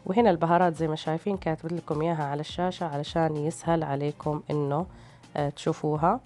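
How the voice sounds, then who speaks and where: A woman's voice: deep voice, fairly even intonation, very fast, mellow. A woman with a tired voice, narrating recipes as a cooking host in a casual, hesitant way. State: tired, strained voice. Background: background music.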